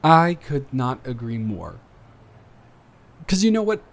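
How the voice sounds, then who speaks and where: A young man playing an earnest, awkward college student smitten with a dormmate, rambling and halting in an intimate roleplay audio drama. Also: medium pitch, sing-song. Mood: eager, supportive. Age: young adult.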